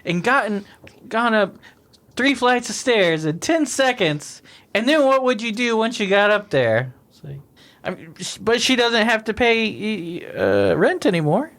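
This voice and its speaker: A man on a laid-back beer podcast talks in a slightly high voice, looping back over his words and laughing often as he chats with friends. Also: sing-song, unhurried, fairly bright tone. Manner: rambling, restarts a lot and laughs often. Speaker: podcast co-host. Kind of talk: casual chat over beers.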